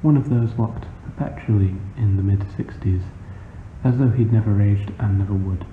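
A man reads a literary short story in a measured, formal manner, as scripted narration. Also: fairly low pitch. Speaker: author reading a short story. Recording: muffled phone-like sound, noisy, roomy echo.

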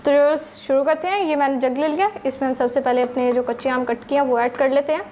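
A woman with a Pakistani Urdu accent narrates a home recipe step by step and talks straight to her viewers. Her voice sits high and stays patient and explanatory. A faint noise runs under the recording. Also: fairly even intonation, quick pace, darkish tone. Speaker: cooking channel host.

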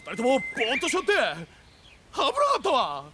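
An actor playing a shrewd, exuberant warlord in a samurai video game, with rustic old-fashioned speech. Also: male voice, very high voice, huge pitch swings, fairly quick, background noise, full character voice. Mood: merry, boisterous. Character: shrewd, exuberant warlord becoming ruler of the realm.

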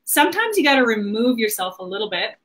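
A woman's voice: on the high side, big pitch swings, quick pace, a bit bright, slight background noise. A woman in her thirties hosting a livestream, chatting casually and informally. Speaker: host of a marketing livestream. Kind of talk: casual conversational interview. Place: small home office indoors.

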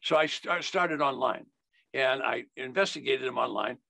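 A man's voice: mid-range voice, moderate pace, gravelly, sharp tone, dry recording. An older American man teaching in an emphatic, folksy sales style, repeating key phrases on a video call. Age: older, 70s. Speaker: investing educator. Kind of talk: instructional sales talk.

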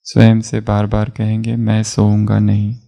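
A man guiding a relaxation meditation as an instructor, giving calm, measured instructions with long pauses. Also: lowish voice, creaky. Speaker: yoga nidra meditation instructor.

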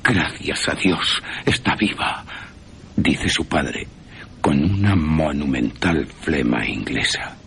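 Deep, slow, suspenseful male storyteller. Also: creaky, a bit bright, slight background noise. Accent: Castilian Spanish. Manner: theatrical, suspenseful. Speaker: narrator. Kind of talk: dramatic radio horror storytelling.

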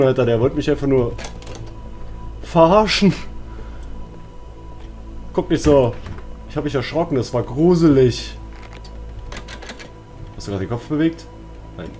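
Deep-voiced German man gaming, music behind. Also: darkish tone. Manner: jumpy, exclamatory, self-talking. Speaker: let's player. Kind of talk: casual horror game commentary. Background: game music and sounds.

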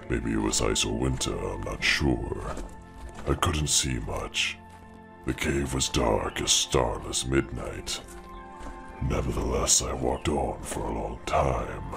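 A man voicing a huge blue dragon in a fantasy audio drama, grave and brooding, with a slow rumbling delivery. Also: creaky, exaggerated voice.

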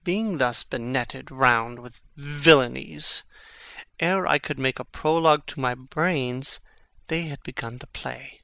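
An actor playing an intense, brooding young prince in a classical Shakespearean dramatic reading, with theatrical, emphatic phrasing. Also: male voice, mid-range voice, slow, vocal fry, sharp tone, no echo, exaggerated voice. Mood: urgent, conspiratorial.

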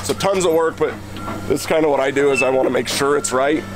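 A man's voice: mid-range voice, fast. A young man vlogging at arm's length outdoors, losing his voice, upbeat and thankful as he rambles through his plans. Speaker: vlogger organizing a car event. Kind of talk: casual vlog talk to camera. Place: outdoors at a concrete plant and inside a truck cab. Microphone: handheld camera at arm's length. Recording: loud outdoor noise.

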